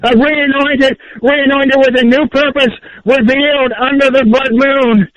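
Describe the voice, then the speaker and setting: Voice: high voice, steady tone, a little slow, no room sound. A caller with a grating voice ranting theatrically in an indignant, pompous, preachy way, speaking in the third person over a phone line. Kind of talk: theatrical fire-and-brimstone rant in the third person. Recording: dull lo-fi phone call.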